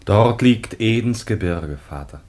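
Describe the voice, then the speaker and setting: Low-voiced, solemn, sorrowful son. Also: male voice, animated, a little slow, darkish tone. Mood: grave. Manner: solemn, earnest. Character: devoted, sorrowful son of a dying patriarch. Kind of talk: classic German radio drama acting.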